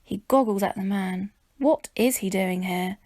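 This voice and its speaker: A woman reading a children's story aloud as expressive narration, with a dramatic, measured delivery. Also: mid-range voice, no echo, clean studio sound.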